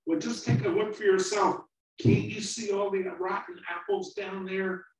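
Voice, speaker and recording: A man's voice: moderate pace, roomy sound, distant mic, close to an everyday voice, poor audio. A man voicing a young, vain, anxious little apple that keeps interrupting. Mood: worried. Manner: high, excitable, interrupting.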